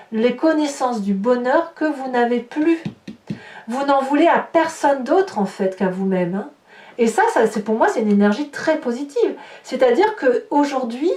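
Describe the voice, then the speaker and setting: Woman tarot reader, higher voice, set back from the mic in an echoey room; emphatic, reassuring delivery. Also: darkish tone, far from the mic. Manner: slow, emphatic, reassuring, addresses viewers directly. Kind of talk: tarot reading to camera. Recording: roomy, from off-mic.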